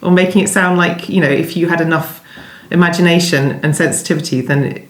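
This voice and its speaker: A woman novelist being interviewed, talking conversationally in a thoughtful, hesitant and halting way. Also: fairly low pitch, steady tone. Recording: echoey room sound.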